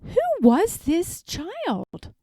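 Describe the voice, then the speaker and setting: Woman playing a vain, theatrical pampered dog, unhurried. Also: very high voice, wildly swooping pitch, dark tone, no room sound, clean studio sound, voice pushed up, heavily acted. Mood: haughty. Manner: theatrical, self-important, dramatic pauses. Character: vain, indignant, lovelorn pampered palace dog narrator. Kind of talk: expressive storybook read-aloud.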